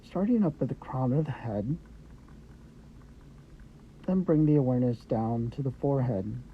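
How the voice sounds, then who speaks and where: A man guiding a meditation with gentle, unhurried instructions in short phrases with pauses between them. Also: mid-range voice. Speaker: meditation guide. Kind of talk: guided meditation, Yoga Nidra. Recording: dull lo-fi audio with background noise.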